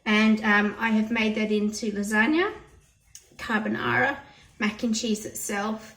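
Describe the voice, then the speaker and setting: A hoarse woman chatting through a cooking demonstration in her home kitchen, explaining each step plainly. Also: medium pitch, steady tone, measured pace, fairly bright tone. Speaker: home cooking channel host. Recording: roomy kitchen audio from a distance.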